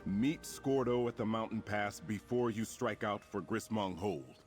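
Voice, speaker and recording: An actor playing a grave, resolute military leader in a fantasy game, speaking formally and earnestly with a commanding manner. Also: male voice, on the low side, unhurried, natural voice. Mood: commanding, urgent. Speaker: quest-giving game character. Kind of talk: scripted fantasy game dialogue. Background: music.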